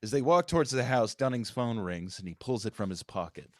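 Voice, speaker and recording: A man's voice: medium pitch, expressive, a little fast, no echo, clean studio sound. A man, the writer, reading out screenplay stage directions as narrator at a live table read.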